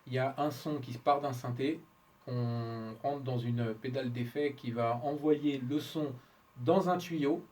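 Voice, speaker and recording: A middle-aged man explains his craft as an interviewed musician, informal and colloquial, halting and correcting himself. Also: medium pitch, darkish tone. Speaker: interviewed musician, talkbox player and producer. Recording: distant mic, noisy room background.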